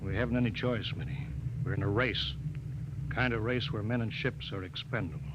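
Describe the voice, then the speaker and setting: An actor playing a commanding, world-weary male spaceship captain in a 1950s radio drama, brisk and authoritative in manner. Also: lowish voice, lightly acted. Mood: weary, troubled. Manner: brisk, authoritative, clipped orders. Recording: noisy, dull vintage 1950 radio recording.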